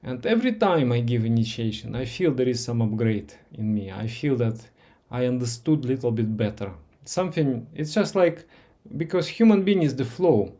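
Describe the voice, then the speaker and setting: A man whose first language is Russian, teaching meditation in a live session with viewers, speaking slowly and gently with many pauses, fillers and repeated words. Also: medium pitch, lively intonation.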